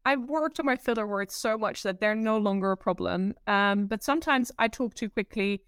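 A woman from the Netherlands with a Dutch accent, a presentation coach talking as a podcast guest, expressive and quick to giggle. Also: medium pitch, clean tone, no echo, clean studio sound. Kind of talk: casual podcast interview.

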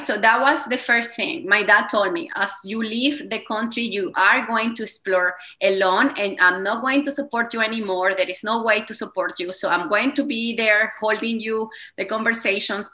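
A woman's voice: mid-range voice, bright, forceful tone. A woman in her thirties with a Venezuelan Spanish accent, a wellness coach speaking English as a second language, talking enthusiastically as an interview guest. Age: 30s. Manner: enthusiastic, slightly non-native phrasing. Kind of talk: interview conversation.

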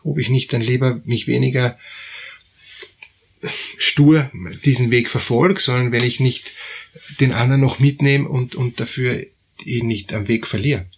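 A man hosting an advice podcast, talking in a halting way with many fillers and repeated words. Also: mid-range voice, steady tone, breathy edge. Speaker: therapist host. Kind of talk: advisory podcast talk.

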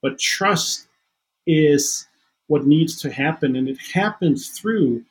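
A man whose first language is Danish speaks earnestly about trust and faith, often stumbling and restarting mid-sentence. His voice is a little husky and sits somewhat high for a man. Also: lively intonation. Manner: earnest, halting with repeated restarts. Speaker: guest counselor. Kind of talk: reflective faith conversation.

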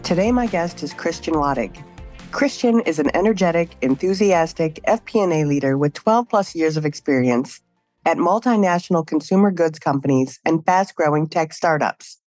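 A woman hosting a business podcast, reading a measured guest introduction and asking interview questions. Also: low-pitched, expressive, a little mellow, no echo, faint music behind. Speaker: podcast host.

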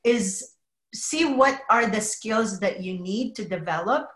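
A middle-aged woman from the Philippines speaks as a podcast guest. She talks in an earnest, measured way, often pausing with small fillers. Her voice carries a noticeable room echo. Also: medium pitch, animated, fairly bright tone. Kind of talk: casual interview conversation.

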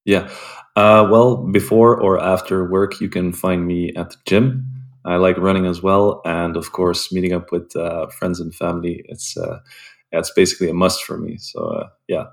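A young man from Belgium, a sales rep, calmly answering interview questions with frequent ums and uhs. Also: sits low, moderate pace, vocal fry. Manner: calm, hesitant with frequent ums. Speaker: guest, sales development rep.

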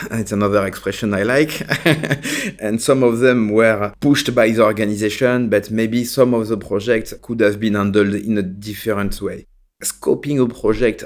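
A man giving explanatory interview answers as a consultant, in short emphatic phrases. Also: on the low side. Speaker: technology consultant, interview guest.

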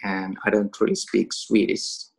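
A man's voice: fairly low pitch, flat delivery, unhurried, vocal fry, darkish tone. A Finnish man who is a gym owner and coach, chatting casually in English with a Finnish accent and laughing between phrases. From Finland. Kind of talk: casual podcast conversation.

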